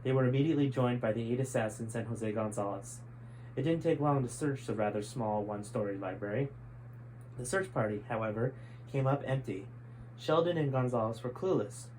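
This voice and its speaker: A man's voice: medium pitch, darkish tone, a little hiss or hum, rough recording. A man reading aloud from his own book in a friendly, conversational way. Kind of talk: storytime reading.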